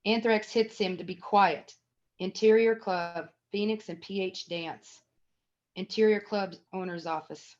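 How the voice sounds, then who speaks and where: A woman's voice: mid-range voice, steady tone, unhurried. Woman narrator, slightly dull recording. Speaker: narrator reading stage directions. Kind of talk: scripted screenplay narration.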